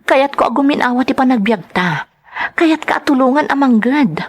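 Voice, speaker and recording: Casting: actress as earnest young-family wife and mother, Ilocano accent, imploring, very lively pitch, radio drama. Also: normal speed, breathy edge. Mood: pleading, earnest. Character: Lorica, earnest, pleading wife and mother in hardship.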